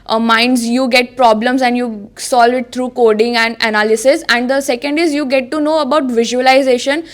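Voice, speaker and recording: A student in her twenties from India gives her answers in a high voice. She explains things step by step, stopping and restarting along the way. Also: fairly even intonation, normal speed. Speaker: student guest.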